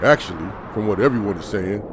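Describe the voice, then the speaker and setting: A man playing a kindly, easygoing survivor in a comic dub drama, gentle and reassuring. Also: fairly low pitch, expressive, quick pace, background noise, natural voice. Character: kindly, easygoing big-brotherly male survivor.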